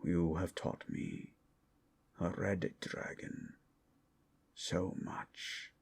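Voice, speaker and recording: Give this fantasy roleplay a proud, protective red dragon. The voice is very low and thick with gravelly creak, breathy at the edges. Every phrase comes extremely slowly, formal and grandiose, menacing yet capable of tenderness. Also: male voice, steady tone, exaggerated voice. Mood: solemn, tender. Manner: slow, grandiose, formal dramatic delivery. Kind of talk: improvised fantasy ASMR roleplay.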